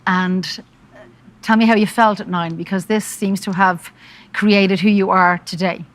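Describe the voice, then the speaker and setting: A woman interviewing a guest, asking questions in a gentle, admiring, unhurried way. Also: medium pitch, steady tone.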